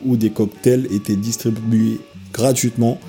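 A man hosting an interview show, introducing his guest and asking questions in a relaxed, upbeat way. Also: fairly low pitch, normal speed, faint music behind. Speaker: host. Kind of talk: radio-style interview hosting.

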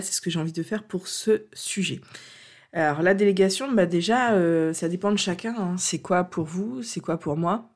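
A woman's voice: lowish voice, a little mellow. A French-speaking woman hosting a coaching podcast while she has a cold, talking unscripted in an earnest, hesitant way. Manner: hesitant, many fillers and restarts, earnest. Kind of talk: unscripted solo podcast talk.

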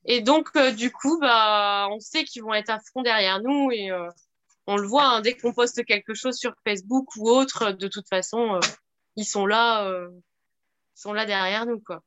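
A woman answers interview questions with a fairly high, smooth and clear voice. She is earnest but hesitant, often pausing on "euh" and "bah" as she looks for her words. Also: a bit bright, muffled recording. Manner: hesitant, many fillers, earnest. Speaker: rally participant.

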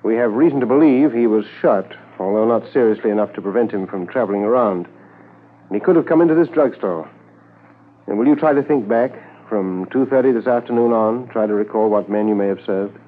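A man's voice: on the low side, a little hiss or hum, lightly acted. An actor playing a sombre, businesslike police inspector narrating his case tersely in a 1950s radio drama. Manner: terse, measured, matter-of-fact. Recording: muffled 1950s radio transcription, faint music.

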